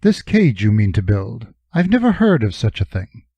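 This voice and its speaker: A man's voice: fairly low pitch, very animated, moderate pace, dark tone, husky, no room sound, lo-fi sound. A man voicing a male Phoenician pirate-merchant who haggles and fusses, earnest and dramatic. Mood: worried.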